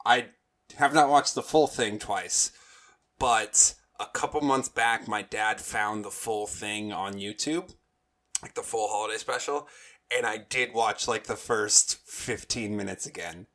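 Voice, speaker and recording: A man's voice: lowish voice, bright, forceful tone. A man co-hosting a casual fan podcast, talking emphatically and often repeating himself. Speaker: podcast co-host.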